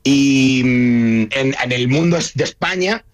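A man's voice: medium pitch, slow pace. A man with a La Mancha Spanish accent, a comedian chatting as a guest in a radio interview, rambling and laughing easily. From Spain. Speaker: guest, comedian and video creator. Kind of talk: casual radio interview chat.

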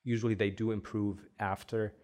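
A young man from Egypt whose first language is Arabic, a headache doctor explaining in a relaxed podcast interview with many "uh" fillers. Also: on the low side, moderate pace. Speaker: headache specialist doctor, guest.

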